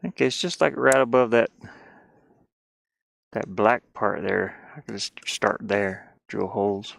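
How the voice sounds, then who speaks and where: A man's voice: lowish voice, unhurried, creaky, fairly bright tone, no echo. A man live streaming casually as he works with his hands, muttering and meandering as he thinks aloud through each step for viewers. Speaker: live streamer doing a DIY build. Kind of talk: casual chat while working, thinking aloud.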